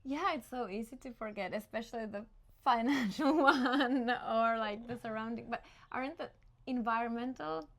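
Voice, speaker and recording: A young woman from Finland hosting a relaxed interview. Her voice sits a bit higher than most. She talks in a chatty, animated way and laughs often. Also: normal speed, vocal fry. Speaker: interview host. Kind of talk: casual conversational interview.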